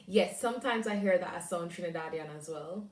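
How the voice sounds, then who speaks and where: A woman's voice: on the low side, brisk, darkish tone, roomy sound, recorded from a distance. A young Jamaican woman chatting casually on a livestream, reading and answering viewer comments aloud. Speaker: livestream host.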